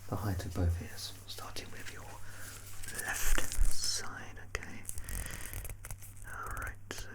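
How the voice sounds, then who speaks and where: An ASMR performer speaking in a soft, unhurried murmur that slips into breathy whispers, reassuring and gently playful in a personal-attention roleplay. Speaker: ASMR performer playing a measurer.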